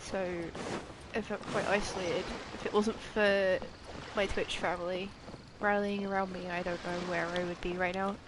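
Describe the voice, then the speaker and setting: A woman streamer with the sniffles, talking to her viewers in a halting, hesitant way full of pauses. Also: mid-range voice, little pitch movement, noisy background. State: stuffy, has the sniffles. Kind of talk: casual live-stream chat.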